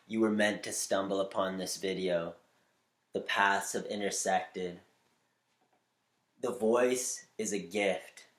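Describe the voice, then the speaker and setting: A man with a slightly nasal voice giving upbeat, spiritual advice on using your voice, shifting between quick bursts and slow, deliberate lines. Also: low-pitched, a bit bright, roomy sound. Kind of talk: motivational talk to camera.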